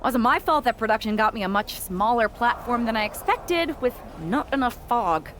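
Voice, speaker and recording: A woman's voice: on the high side, expressive, moderate pace, fairly bright tone, a little hiss or hum. A woman voicing an eager, overdramatic young wrestler who wants to be a witch, in a video game. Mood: defensive. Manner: perky, pleading, overdramatic. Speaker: voice actor in a video game cutscene. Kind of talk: animated video game acting.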